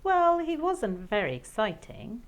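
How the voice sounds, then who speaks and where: A woman's voice: a little high, wildly swooping pitch, unhurried, voice pushed up, exaggerated voice. A woman voicing a cheeky, offhand young boy in a children's storybook. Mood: dismissive. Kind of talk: storybook character voice.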